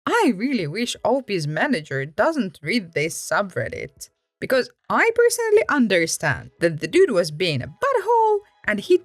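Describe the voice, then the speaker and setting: Lively, expressive woman storyteller, close dry sound. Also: medium pitch, no echo. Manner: expressive, acts out quoted remarks. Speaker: story reader and commentator. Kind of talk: storytelling with reaction commentary.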